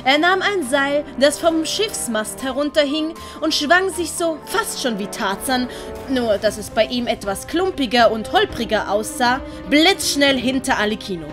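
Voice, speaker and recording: Swiss German woman for children's story narration, fairly high voice over prominent music and effects. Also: expressive, measured pace. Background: dramatic music and sound effects.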